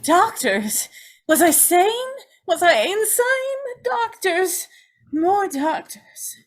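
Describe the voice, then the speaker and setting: A woman playing a tormented, fragile young prisoner in an old-time radio drama, halting and repeating herself in anguish. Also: very high-pitched, very animated, full character voice. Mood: frantic despair. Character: tormented young condemned woman, haunted and fragile, confessing to Mother.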